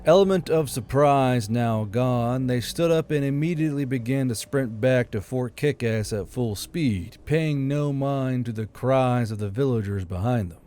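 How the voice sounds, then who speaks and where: Medium-pitched man narrating fiction. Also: a little slow, hardly any pauses, mellow. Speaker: audiobook narrator. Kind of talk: dramatic scripted fiction narration.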